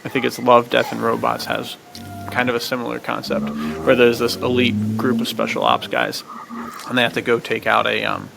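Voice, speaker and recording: A man's voice: medium pitch, normal speed, music in the background, poor audio. A man co-hosting a podcast, talking about films in a casual, slangy, agreeable way. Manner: slangy, agreeable, chuckles between phrases. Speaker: podcast co-host. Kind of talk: casual film chat. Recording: clear background noise with clicks.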